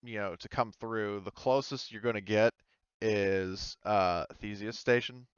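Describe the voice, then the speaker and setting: A man acting as game master for a tabletop game, narrating off the cuff in a halting, casual way, often searching for words. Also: on the low side, clear, resonant tone, dry recording, dull audio.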